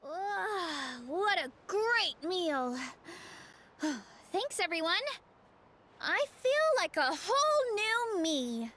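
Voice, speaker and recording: High, expressive, earnest young heroine. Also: female voice, takes it slowly, exaggerated voice. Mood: contented. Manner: earnest, gentle, hesitant. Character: sweet earnest young woman, modest, big-hearted big eater. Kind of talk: anime game voice acting. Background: game music.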